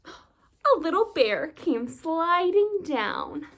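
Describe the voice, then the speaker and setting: A woman with a high, very animated voice narrates a children's story. She takes it slowly, phrasing each line expressively and deliberately for young listeners. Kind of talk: children's read-aloud narration.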